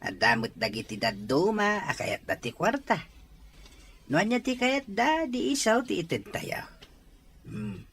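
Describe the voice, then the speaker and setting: A man's voice: high voice, huge pitch swings, measured pace, a bit bright, slightly rough, full character voice. A man with an Ilocano accent playing a patient, fair-minded farmer father in an audio drama. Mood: earnest, gentle. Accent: Ilocano, from the Philippines.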